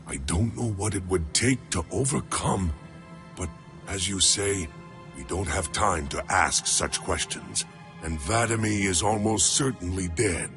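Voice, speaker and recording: An actor playing a resolute alien warrior turned rebel in a game cutscene, speaking in an earnest, formal way. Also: male voice, deep voice, expressive, unhurried. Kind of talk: video game cutscene acting. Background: music score.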